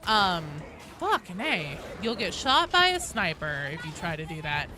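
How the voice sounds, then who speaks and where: A woman's voice: on the low side, very animated, unhurried, music in the background. A woman livestreaming a game, chatting casually in a rambling, sweary, self-deprecating way. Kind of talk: casual gaming stream chat and commentary.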